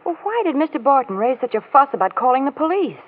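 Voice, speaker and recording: High, animated sleuth's companion. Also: female voice, fast. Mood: playful, curious. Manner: inquisitive, arch. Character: quick-witted, playful, worrying woman companion. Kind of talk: 1940s radio drama acting. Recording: hissy, tinny old radio recording.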